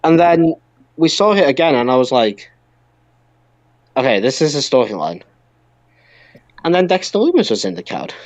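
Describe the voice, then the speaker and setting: A man's voice: medium pitch, very animated, normal speed, long pauses, dry recording. A man co-hosting a podcast in a casual, chatty style about pro wrestling. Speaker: podcast co-host.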